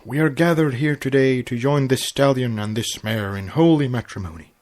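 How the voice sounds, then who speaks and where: A man's voice: mid-range voice, moderate pace, a little mellow, close to an everyday voice. A man voicing a stately female pony princess who makes formal announcements as she conducts a ceremony. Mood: solemn.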